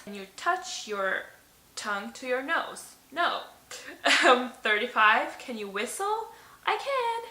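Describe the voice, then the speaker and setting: A woman's voice: on the high side, pushed and bright, echoey room. A young woman from Slovenia, a native Slovene speaker, chatting casually to camera in her bedroom, filling her answers with ums and likes. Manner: chatty, many ums and likes, laughs often. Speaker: beauty vlogger. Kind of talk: casual tag video chat. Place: bedroom, indoors.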